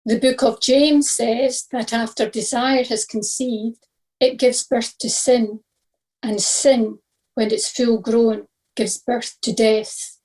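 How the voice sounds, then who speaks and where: Female reader, fairly high voice, scripted devotional reflection. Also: steady tone, slow, a little mellow, low-quality recording.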